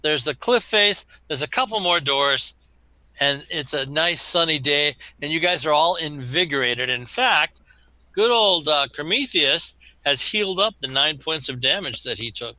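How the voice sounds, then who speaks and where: Male game master, tabletop banter, sharp bright tone, close dry sound. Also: a little high, lively intonation, measured pace, no room sound, low-quality recording. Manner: teasing banter with players. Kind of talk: running a tabletop role-playing game.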